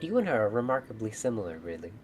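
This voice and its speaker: A woman's voice: a bass voice, big pitch swings, quick pace, a little mellow, soft background music. A woman voicing a proud, blunt, aloof mermaid in a fairytale visual novel, with formal, stately phrasing. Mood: wistful. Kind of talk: visual novel character read-aloud.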